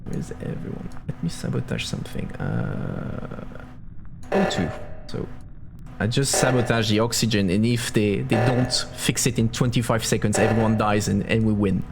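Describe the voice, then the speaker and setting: Gravelly male streamer, halting delivery, game music behind. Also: on the low side. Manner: halting, many fillers and restarts, chuckling. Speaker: streamer and host. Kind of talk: live game commentary and moderating.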